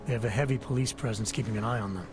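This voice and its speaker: A man playing a gruff male special agent in a video game, clipped and skeptical. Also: racing, background noise, lightly acted. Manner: clipped, low-key and skeptical.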